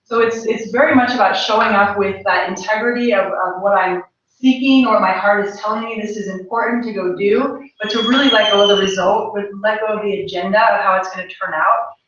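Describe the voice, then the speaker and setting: A woman's voice: mid-range voice, brisk, few pauses, fairly bright tone, slight background noise. Thoughtful woman in a very echoey room. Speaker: panel speaker. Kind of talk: reflective informal talk to an audience. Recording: echoey room, distant mic, dull sound.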